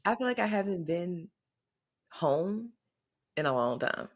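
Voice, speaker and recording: Low-voiced chatty woman in her thirties. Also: measured pace. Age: 30s. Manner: chatty, animated storytelling with acted-out quotes. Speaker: podcast co-host. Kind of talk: casual chat. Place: her home, in front of a flower wall.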